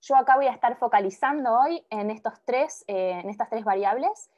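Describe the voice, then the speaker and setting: A young woman presenting her doctoral research over a video call, pausing often with fillers and restarts. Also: on the high side, darkish tone. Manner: hesitant, many filler sounds and restarts. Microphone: laptop microphone on video call. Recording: muffled video call audio.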